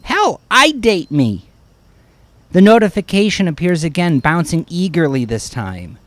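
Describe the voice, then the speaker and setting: A man's voice: a little high, sing-song, measured pace, a bit bright, no room sound. A man narrating a first-person story expressively, acting out the storyteller's reactions as he goes. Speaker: author narrating own horror story.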